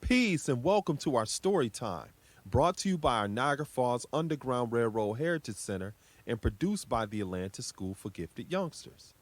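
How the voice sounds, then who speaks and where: A man's voice: medium pitch, sing-song, moderate pace. A man introducing a children's story time in a welcoming, encouraging manner, addressing young listeners as host.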